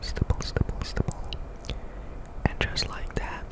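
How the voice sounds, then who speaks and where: A man giving ASMR game commentary in a breathy, unvoiced whisper, often softly repeating words and phrases. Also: a little slow. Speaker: gaming video host.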